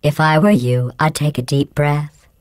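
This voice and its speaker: A woman playing a coldly menacing, sarcastic female artificial intelligence in a video game, deadpan and falsely polite, with robotic processing on the voice. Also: deep voice, no echo, clean studio sound. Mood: smug, menacing. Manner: deadpan, passive-aggressive, falsely polite, measured enunciation. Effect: robotic synthesized voice processing. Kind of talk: scripted video game voice acting.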